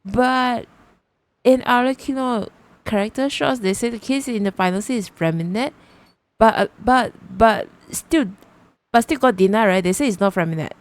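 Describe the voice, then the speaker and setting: A woman's voice: on the high side, normal speed. A woman livestreamer giving casual commentary on a game, often repeating and rephrasing her thoughts.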